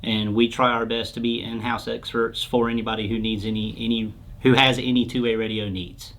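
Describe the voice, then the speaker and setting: A man speaks at a middling pitch in an informal interview. He explains things plainly and practically, as a product specialist answering a customer's basic questions. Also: little pitch movement, fairly quick, fairly bright tone, low-quality recording. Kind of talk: casual explanatory interview talk.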